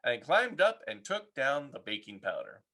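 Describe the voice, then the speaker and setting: A man reading a children's story aloud as an expressive narrator, playful with his words. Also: medium pitch, normal speed. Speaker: storybook reader.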